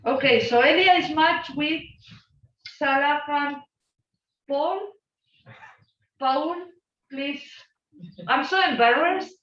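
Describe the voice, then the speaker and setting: A woman's voice: sits high, takes it slowly, long pauses, a bit bright. A woman hosting an online group session with informal introductions and a self-deprecating, joking manner. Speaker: host of an online student exchange session. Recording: roomy, distant, dull video-call audio.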